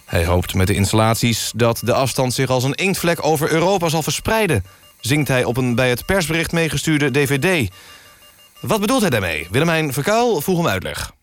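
An actor playing a dry, deadpan male presenter of a satirical radio news magazine. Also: medium pitch, normal speed, soft background music, close to an everyday voice.

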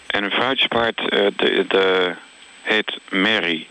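An actor playing an awkward, clueless male freelance field reporter in a radio comedy, speaking haltingly as if live on air. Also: sits low, bright, forceful tone, a little hiss or hum, exaggerated voice, low-quality recording. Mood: awkward, earnest. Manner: halting, hesitant.

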